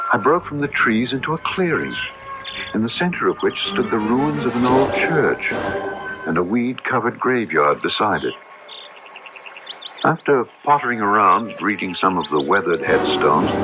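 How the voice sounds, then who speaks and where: Low-voiced, haunted radio drama man. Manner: literary, brooding, deliberate phrasing. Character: haunted, melancholy man recovering from illness, narrating his tale. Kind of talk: old-time radio drama acting. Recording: muffled old radio recording, music behind.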